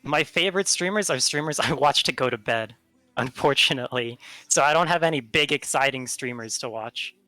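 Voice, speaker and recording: A woman's voice: extremely low pitch, brisk, sharp tone, dry recording. A woman from New Zealand with a light New Zealand accent, a guest chatting casually on a livestream in an easygoing, self-deprecating way. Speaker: guest streamer.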